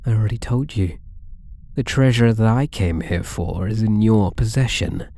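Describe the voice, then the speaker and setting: Guy doing a british catboy thief for asmr roleplay, possessive n teasing, slow soft-toned mellow voice, super close dry sound. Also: lowish voice, dry recording, lightly acted. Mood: soothing, possessive. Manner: coy, playful, mock-innocent purring taunts.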